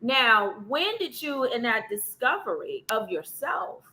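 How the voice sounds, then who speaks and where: A woman's voice: fairly high pitch, big pitch swings, unhurried, bright, forceful tone, low-quality recording. A woman hosting a podcast interview, asking long questions and encouraging her guest with affirmations. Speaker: podcast host.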